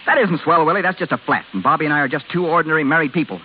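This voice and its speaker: An actor playing a frustrated, self-pitying young working-class husband in an old radio drama, agitated and slangy. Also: male voice, on the high side, expressive, very fast, natural voice. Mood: bitter, dejected. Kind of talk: 1930s-40s radio drama acting. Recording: tinny, hissy old radio recording.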